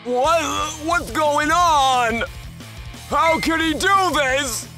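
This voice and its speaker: A man's voice: very high voice, drawn-out words, pushed and bright, faint music behind, exaggerated voice. A man voicing a frantic, boastful teenage rival in a manga dub, indignant and strained. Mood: outraged disbelief. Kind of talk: manga dub character acting.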